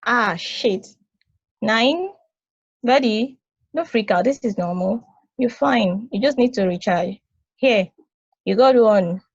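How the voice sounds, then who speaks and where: A woman playing a wry, weary, ancient space probe in a stage play table read, speaking in a dry, matter-of-fact way with a husky voice. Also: a little high, expressive, takes it slowly, pauses often, dry recording, heavily acted. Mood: reassuring. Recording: dull, lo-fi close dry recording.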